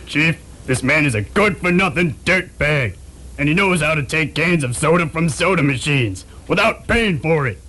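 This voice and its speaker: A man's voice: on the high side, lively intonation, moderate pace, bright, forceful tone. A man playing a whiny, spiteful, easily cowed junior police officer in an amateur comedy radio drama.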